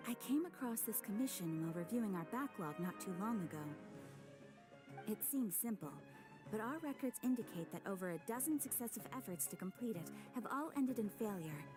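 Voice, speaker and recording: A woman voicing a calm, courteous female guild receptionist who speaks in a formal, measured way, with soft game music behind. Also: medium pitch, natural voice. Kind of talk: game cutscene voice acting.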